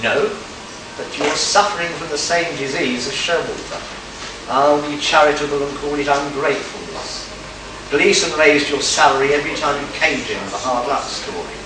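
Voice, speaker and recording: Stern, deliberate detective for a radio play, male actor, distant from the mic, clear background noise. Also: a little high, lightly acted. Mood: accusing. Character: stern, shrewd male detective accusing murder suspects. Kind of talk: amateur radio play acting. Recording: echoey, distant live recording with noise.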